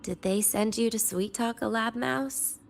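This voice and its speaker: A woman voice acting a weary, teasing, guarded and cynical young woman patient in a video game, with a breathy, languid delivery that slips into near-whispers. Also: fairly high pitch, a little slow, slight background noise. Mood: resigned, bitter. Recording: music behind the voice. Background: background music.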